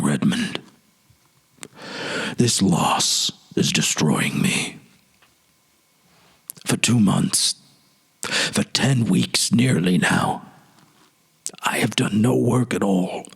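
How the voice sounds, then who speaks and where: Man voicing a low, husky, anguished statesman. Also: animated, a bit bright, heavily acted. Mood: anguished, regretful. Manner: halting, heavy, confessional. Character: anguished cabinet minister confessing secret obsessive grief. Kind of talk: dramatic literary reading.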